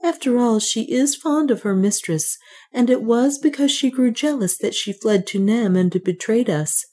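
A gentle, selfless male priest, as a woman narrator puts him on. He speaks clearly and softly, in a raised, lilting voice with a wide swing of pitch. This is a storybook reading. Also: lively intonation, moderate pace. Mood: gentle resolve.

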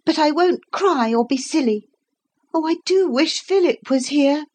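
A woman's voice: high voice, animated, a little mellow, pitched above the performer's own voice. A woman voicing an earnest, anxious little girl in a storybook reading, with a breathless, eager way of talking. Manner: breathless, earnest, quick to apologize. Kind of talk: audiobook character voice.

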